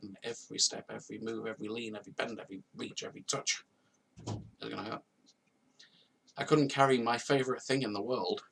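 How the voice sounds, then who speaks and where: A man's voice: on the low side, vocal fry, fairly bright tone, distant mic, a little hiss or hum, poor audio. A man in his thirties telling his own story to camera in an earnest, confessional way, repeating phrases for emphasis. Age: 30s. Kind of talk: personal testimony to camera. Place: at home, on a video call setup.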